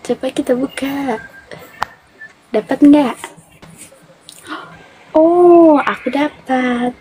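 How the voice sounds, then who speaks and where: Teasing high-voiced woman streamer. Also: very slow, mellow, slight background noise, poor audio. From Toraja, South Sulawesi. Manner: teasing, chatty, laughs often. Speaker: live-stream host. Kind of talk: casual live-stream chat.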